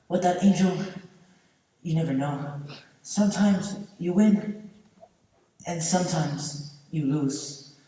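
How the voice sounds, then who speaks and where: A man on stage playing a stubborn, kindly old man, earnest and deliberate, mixing in Spanish words. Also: a little high, mellow. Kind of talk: stage play acting. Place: theatre stage, far camera. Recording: echoey theatre hall from far off.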